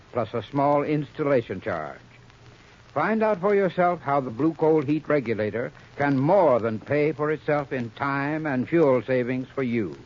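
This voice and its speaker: A man reading a scripted 1930s radio commercial as an expert spokesman, with formal, instructive phrasing. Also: on the high side. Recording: muffled 1930s radio recording.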